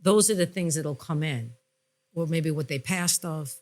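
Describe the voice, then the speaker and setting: A woman's voice: low-pitched, measured pace, darkish tone. Candid, emphatic woman podcast host. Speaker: podcast host and psychic medium. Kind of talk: candid conversational podcast talk.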